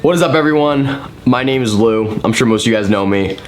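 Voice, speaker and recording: A man's voice: mid-range voice, brisk. A 21-year-old man hosting his ski vlog, talking upbeat and straight to his viewers. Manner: upbeat, addresses viewers directly. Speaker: vlog host. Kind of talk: casual YouTube intro and interview.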